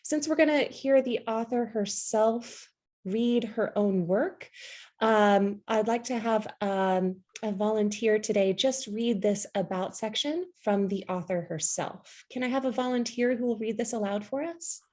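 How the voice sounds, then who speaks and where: A woman in her thirties leading a poetry workshop on a video call through earbuds, speaking gently and encouragingly with frequent ums and restarts. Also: a little high, unhurried. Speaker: workshop facilitator. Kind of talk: online workshop teaching. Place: home room with bookshelves. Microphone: earbud headset on a video call.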